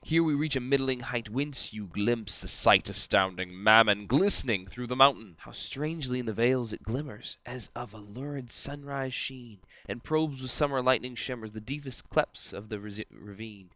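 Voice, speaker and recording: A man's voice: mid-range voice, moderate pace, few pauses, pushed and bright, no echo, muffled recording, lightly acted. A man playing a boisterous, commanding devil guide, theatrically declaiming verse in an amateur dramatic reading. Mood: wondering.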